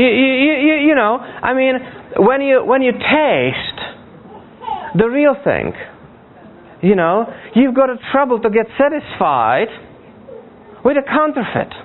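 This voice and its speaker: Guy from africa preaching, pretty high voice, earnest n repeats himself a lot, sounds tinny like a phone line. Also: slight background noise. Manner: earnest, halting, repeats words and checks in with listeners. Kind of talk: conversational sermon. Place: speaking to a church congregation. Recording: tinny, phone-like narrow band.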